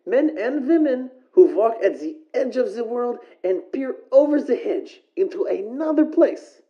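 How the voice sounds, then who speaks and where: A woman's voice: a little high, lively intonation, a little slow, warm tone. A woman host reading scripted, eerie narration to introduce a horror story. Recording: muffled lo-fi radio transmission.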